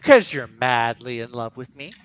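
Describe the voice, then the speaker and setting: A man's voice: medium pitch, very animated, moderate pace, fairly bright tone, dull audio, voice pushed down. A man playing a goofy, wisecracking, flirtatious superhero husband, jokey and chatty, in an amateur comic book reading. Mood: joking.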